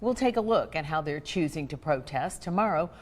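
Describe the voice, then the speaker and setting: A woman news anchor presents scripted news in a slightly low voice. The sound carries a long reverb. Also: expressive, brisk.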